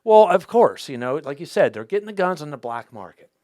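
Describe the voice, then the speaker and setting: Man, host, casual talk, lively pitch, stammering restarts, dry close sound. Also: dry recording. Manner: halting, repeats words as he restarts, lively rises in pitch.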